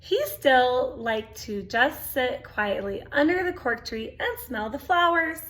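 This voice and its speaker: Lady doing storytime for little kids, really high voice, slow, expressive, chats and asks questions as she reads. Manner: expressive, childlike exclamations and questions to listeners. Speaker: storytime reader. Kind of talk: reading a picture book aloud to young children with asides and questions.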